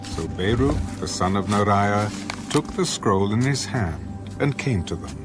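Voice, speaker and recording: A man with a lowish voice narrates scripture formally, in measured phrases. His intonation is lively and expressive, and music plays clearly behind the scripted reading.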